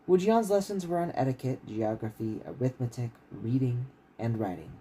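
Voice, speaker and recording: A man's voice: medium pitch, expressive, normal speed, warm tone, a little hiss or hum, low-quality recording. A man who reads his own fantasy writing aloud like a bedtime story on a casual livestream. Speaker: author reading own novel aloud.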